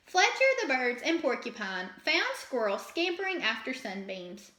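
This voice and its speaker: Female storybook reader: high, bright, expressive, dramatic, recorded in an echoey room. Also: moderate pace. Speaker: teacher reading a storybook aloud. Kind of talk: expressive children's read-aloud.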